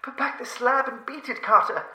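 Shrill, terrified doomed man, echoing. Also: normal speed, bright, forceful tone, heavily acted. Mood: frantic pleading. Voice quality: shaky, hoarse with fear. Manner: urgent, pleading, breaking into shrieks.

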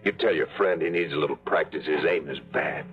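An actor playing a tough, hard-drinking pilot turned tour guide, narrating and talking in clipped, dry, hard-boiled lines in a 1950s radio drama. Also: male voice, rumbling low, brisk. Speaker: narrator and lead. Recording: lo-fi old radio broadcast with music.

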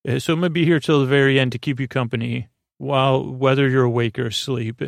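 A man hosting a sleep podcast in a meandering, halting monologue, interrupting himself and drifting into tangents, with a gravelly voice. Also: medium pitch, fairly even intonation, dry recording. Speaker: podcast host. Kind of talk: rambling improvised bedtime podcast monologue.

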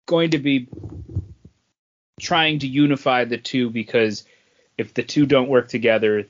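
A man co-hosting a podcast, giving a casual review in a halting way and often clearing his throat. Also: medium pitch, a bit bright. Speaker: podcast co-host reviewing comics. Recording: dull lo-fi podcast audio.

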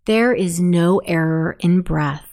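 A woman narrating a guided sleep meditation in a soothing, deliberate manner. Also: lowish voice, a little mellow.

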